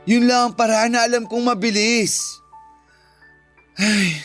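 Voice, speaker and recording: A man's voice: very high voice, steady tone, slow pace, fairly bright tone, exaggerated voice. A man with a Filipino accent playing a defensive, evasive boyfriend in a radio drama. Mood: guilty, confessing. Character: defensive, evasive boyfriend caught stealing savings. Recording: music under the voice. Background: background music.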